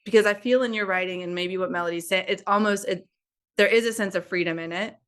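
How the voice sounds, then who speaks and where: A woman hosting, asking curious, gently probing questions over a video call. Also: mid-range voice, fast, clear, resonant tone. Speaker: host. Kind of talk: interview questions.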